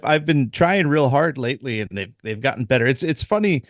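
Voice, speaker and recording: A man in casual podcast talk, mid-pitched and chuckling as he goes. He rambles and restarts his sentences. The sound is dry and close, with no room around it. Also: animated, brisk, dry recording. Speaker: dungeon master and podcast co-host.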